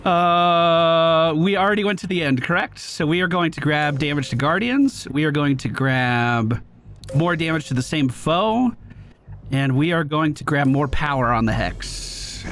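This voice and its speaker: A young man commentating his own video game run, excitable and quick to hype big moments. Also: a little high, a little hiss or hum. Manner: excitable, shouts and hypes when things go well. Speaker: gaming streamer. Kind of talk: let's play commentary. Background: game music.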